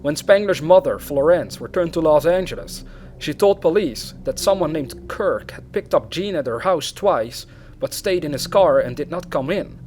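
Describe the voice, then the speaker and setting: Higher-voiced man narrating true crime over music. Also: animated, normal speed, darkish tone. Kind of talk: true crime narration, reading aloud with casual asides. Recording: music behind the voice. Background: background music.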